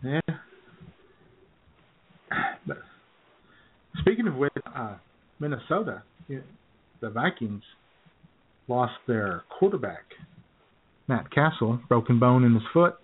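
A man's voice: medium pitch, very animated, slow pace, pauses often, a little hiss or hum. Wry cynical man on a tinny line. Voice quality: wry, self-described cynical, frequent throat-clearing. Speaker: podcast co-host. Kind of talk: casual comedy chat. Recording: tinny phone-like audio.

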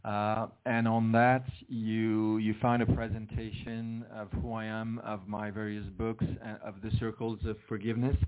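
A man's voice: fairly low pitch, little pitch movement. Man on a tinny phone line. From Switzerland. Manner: earnest, halting with many restarts. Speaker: author and guest. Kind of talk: interview over the phone.

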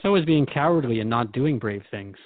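A man's voice: medium pitch, lively intonation, a little fast, no echo, narrow, tinny audio. Wry male host in a dry room. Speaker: host and questioner. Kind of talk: conversational interview.

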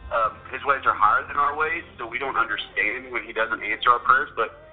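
A man speaking earnestly and haltingly as a guest, with many hesitations. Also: medium pitch, slightly rough. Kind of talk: recorded testimony. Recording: tinny telephone-like recording.

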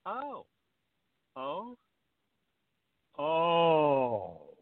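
A man's voice: on the high side, wildly swooping pitch, extremely slow, no room sound, full character voice. A man acting a laid-back, goofy young roommate who is an aspiring actor, in an amateur audio farce. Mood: eager, curious. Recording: dull, muffled lo-fi recording.

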